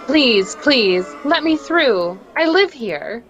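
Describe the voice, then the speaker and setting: A woman's voice: high-pitched, very animated, slow, fairly bright tone, lo-fi sound, soft background music, exaggerated voice. A woman playing a genteel, distressed lady in a radio drama, pleading and dramatic. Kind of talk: old-time radio drama script read live. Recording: noisy, with crowd ambience behind.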